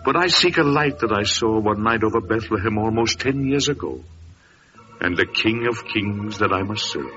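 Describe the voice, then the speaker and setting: An actor playing a restless, earnest wandering seeker in an old-time radio drama. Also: male voice, lowish voice, expressive, normal speed, natural voice. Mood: tender resolve. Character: yearning, restless male seeker, wandering traveler. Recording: muffled vintage radio recording with music.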